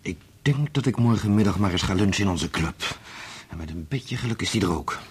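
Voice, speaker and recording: An actor playing an excitable, loyal son in a Dutch radio play, his voice slightly husky. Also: low-pitched, fairly quick, full character voice. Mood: grim, resolved. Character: excitable, loyal son, stunned by a friend's betrayal.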